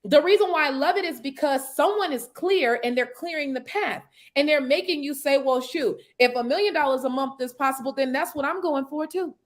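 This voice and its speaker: A woman in her thirties giving motivational, preachy talk as a network marketing mentor, with quick emphatic affirmations. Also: high-pitched. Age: 30s. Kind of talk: motivational casual chat.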